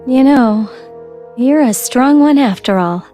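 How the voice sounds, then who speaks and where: A caring female soldier who looks after her younger teammate and talks in a steady, reassuring way. Her voice sits somewhat high. Faint game music plays under the scene. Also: slow pace. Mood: concerned. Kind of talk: English game dub.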